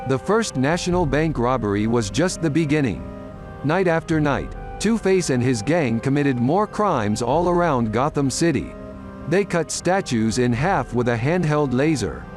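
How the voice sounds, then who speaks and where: A man's voice: medium pitch, expressive, unhurried. A man narrating a scripted audio drama story. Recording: loud music under the voice.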